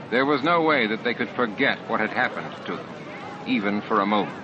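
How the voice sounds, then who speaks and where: A man reading a science fiction novel aloud as an audiobook narrator, with sound effects added behind him. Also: on the low side. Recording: dull lo-fi tape with clear background noise. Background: added sound effects and ambience.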